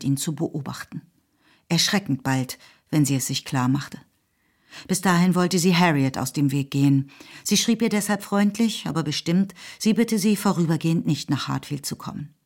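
A woman reading scripted literary narration for a radio play in a measured, composed way. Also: low-pitched, a little mellow. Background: music.

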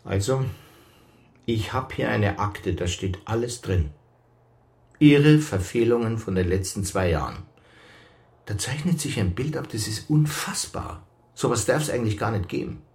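Indignant male police superior, Bavarian accent, officious, scolding, very lively intonation, radio drama. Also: on the low side, a little slow. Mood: indignant, reproachful. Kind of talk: radio crime drama acting.

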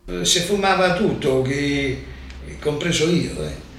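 Echoey, creaky older man recalling, halting. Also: fairly high pitch, fairly bright tone. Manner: halting, repeats words. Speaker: war deportee giving testimony. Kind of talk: spoken recollection. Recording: echoey distant room recording with faint noise.

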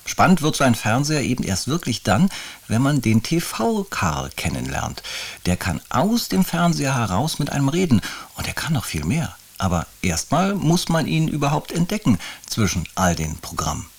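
A man's voice: mid-range voice, big pitch swings, normal speed, creaky, slight background noise. A man presenting a children's radio play with a scripted introduction.

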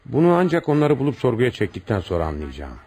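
An actor playing a stern, commanding police commissioner in a radio play, questioning suspects brusquely and with authority. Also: male voice, medium pitch, wildly swooping pitch, fast, warm tone, a little hiss or hum. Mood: commanding, brisk. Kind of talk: radio theatre acting.

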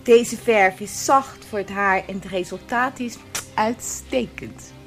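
An actress playing a helpful, brisk shop saleswoman in a Dutch radio play, polite and obliging. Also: mid-range voice, soft background music.

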